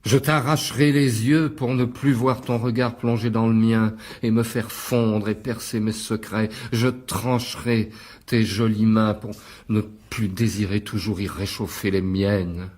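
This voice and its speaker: An actor playing an intense, troubled stage actor in a French radio drama, with a husky voice. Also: male voice, fairly low pitch, steady tone, measured pace, darkish tone. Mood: anguished, declamatory.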